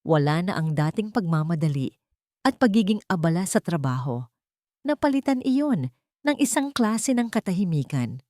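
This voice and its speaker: Low-voiced woman narrating a dramatic story. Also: sing-song, moderate pace, a little mellow, dry recording, clean studio sound.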